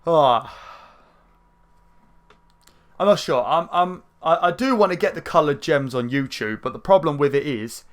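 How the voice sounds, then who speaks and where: A young man with a croaky throat, rambling through casual gameplay commentary with lots of fillers. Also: on the high side, a little slow, long pauses. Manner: rambling, chatty, many fillers, excited outbursts. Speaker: gaming channel host. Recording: faint game music behind. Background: game music.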